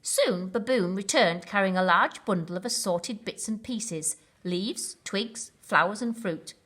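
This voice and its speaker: A woman reading a children's bedtime story aloud in an expressive, deliberate storytelling manner. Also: medium pitch. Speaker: school storyteller.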